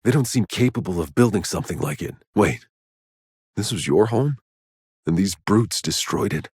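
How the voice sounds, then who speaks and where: A deep male voice plays a world-weary soldier in a sci-fi video game. He is gruff in temper, terse and sardonic. The sound is very dry, with no room around it. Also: expressive, normal speed, a little mellow, no room sound. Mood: wary, serious. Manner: sardonic, terse, swears freely. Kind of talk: video game character voice lines.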